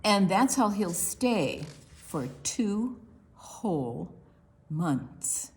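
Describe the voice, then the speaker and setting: A woman reading a picture book aloud for preschoolers, expressively and deliberately, with quiet asides to the young listeners. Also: medium pitch, very animated. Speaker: children's librarian hosting online storytime.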